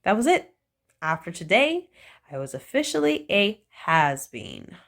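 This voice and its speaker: A woman reads a children's picture book aloud in an unhurried, storytelling way. Her voice swoops up and down with playful emphasis on the punchlines. The pace stays gentle and deliberate throughout. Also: fairly low pitch, very animated, creaky.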